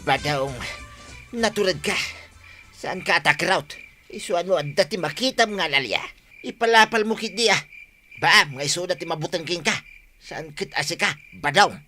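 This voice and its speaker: A man's voice: high voice, expressive, moderate pace, bright, forceful tone, faint music behind. A man playing a fussy, superstitious, easily spooked husband with an Ilocano accent in a radio comedy, whining and stammering out repeated words. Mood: spooked, pleading. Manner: whiny, exclamatory, stammering repeats.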